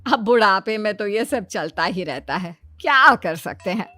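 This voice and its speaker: Woman as a lively, expressive proud mother-in-law. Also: mid-range voice, normal speed, soft background music. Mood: surprised, questioning. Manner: expressive, questioning. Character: proud, questioning middle-aged mother-in-law. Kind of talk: comedic Hindi story dubbing.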